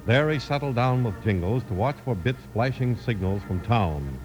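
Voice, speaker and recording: A man's voice: medium pitch, lively intonation, moderate pace, highs cut off, a little hiss or hum. A man announcing and narrating in an emphatic, scripted 1950s radio style. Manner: emphatic salesmanlike delivery.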